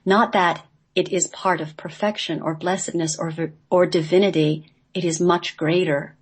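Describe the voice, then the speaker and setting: Female guest reader with a lowish voice, reciting mystical scripted prose solemnly. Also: steady tone, moderate pace, husky. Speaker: guest reading a script she wrote.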